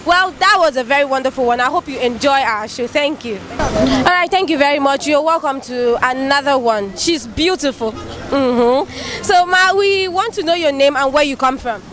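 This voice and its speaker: A woman hosting a street interview outdoors, upbeat and quick to thank her guests. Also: sits high. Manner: upbeat, repeats thanks often. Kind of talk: street vox-pop interview. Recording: street crowd noise behind.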